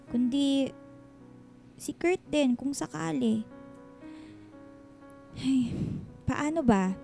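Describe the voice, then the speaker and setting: An anxious single mother in a Filipino-accented radio drama. Her voice is high and mellow, guarded and hesitant, pleading with feeling. Music plays underneath. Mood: worried, confiding.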